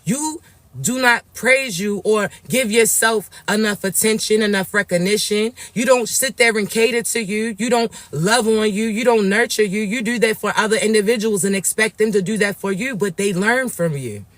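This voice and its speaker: A raspy-voiced man, talking slowly and tenderly to viewers as a spiritual reader. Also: high voice, no echo. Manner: soft, drawn-out, affectionate address to viewers. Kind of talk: livestream reading for viewers.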